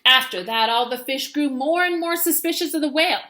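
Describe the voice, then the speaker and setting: A woman's voice: high voice, fairly quick, sharp tone. A woman teacher reading a children's storybook aloud, expressively and with dramatic emphasis.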